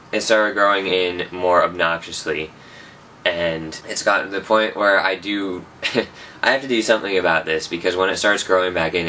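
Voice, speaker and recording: Young man, low bright voice, chatty rambling vlog, slightly distant mic. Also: slight background noise. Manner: rambling, self-correcting, chatty. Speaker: vlogger. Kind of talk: casual personal update.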